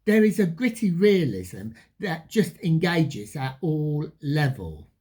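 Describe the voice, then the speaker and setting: A film reviewer giving a retrospective commentary, phrasing things deliberately and stressing key words. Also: mid-range voice, sing-song, warm tone, slightly rough. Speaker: film reviewer, channel host.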